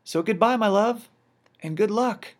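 A man reading a storybook, voicing a brisk, practical housewife in a high, fluttery voice. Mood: fond brisk. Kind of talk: audiobook character reading.

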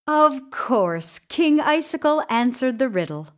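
Very slow, high-voiced woman storyteller. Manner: expressive, deliberate, dramatic pauses for young listeners. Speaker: storyteller reading a children's book. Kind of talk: scripted children's story narration.

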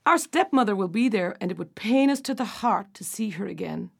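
A woman voicing a young princess, the eldest sister, in a storybook tale, speaking high and raised in a pleading way. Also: lively intonation, brisk, gravelly. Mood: sorrowful.

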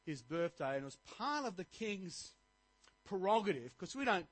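Animated pastor preaching. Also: male voice, sits high, normal speed, dry recording. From Australia. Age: middle-aged. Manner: animated preaching, rising to emphatic points. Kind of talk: sermon.

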